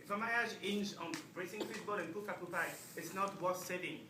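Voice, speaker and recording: A man's voice: high voice, a little fast, lightly acted. A man playing an exasperated, sarcastic young lawyer husband quarrelling with his wife in a stage comedy-drama. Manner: sardonic, argumentative. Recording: distant, echoey room with background noise.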